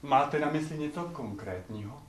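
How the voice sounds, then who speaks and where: An actor playing Aesop, an ugly, witty and impudent slave who tells tales, in theatrical stage comedy style. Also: male voice, on the high side, very noisy, close to an everyday voice. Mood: wry, unfazed. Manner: theatrical, cheeky, declaiming. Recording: echoey theatre stage, distant microphone.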